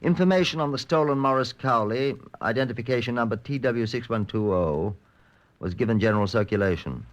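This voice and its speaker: An actor playing a dry, determined chief inspector who narrates a vintage radio police drama in measured, understated tones. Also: male voice, medium pitch, lightly acted. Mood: measured, matter-of-fact. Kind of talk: golden age radio police drama acting. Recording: dull, lo-fi old radio recording.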